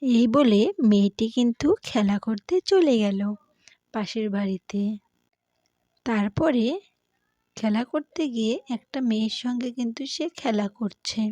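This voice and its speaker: A woman's voice: fairly high pitch, unhurried, dark tone, no echo. A woman storyteller narrating a cartoon tale in an informal spoken way, leaning on repeated emphatic filler words.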